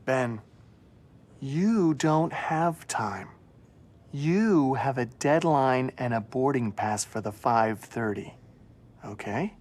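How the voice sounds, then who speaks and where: An actor playing a glib, distracted intelligence bureaucrat in an audio drama, with an offhand, chummy manner. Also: male voice, mid-range voice, animated, takes it slowly, a little mellow. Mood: grave, pointed.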